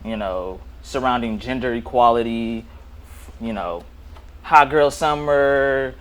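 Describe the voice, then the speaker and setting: A man's voice: medium pitch, slow pace. A man hosts a casual interview podcast with a laid-back, easygoing delivery. He tends to repeat his phrases as he reacts and asks questions. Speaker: podcast host.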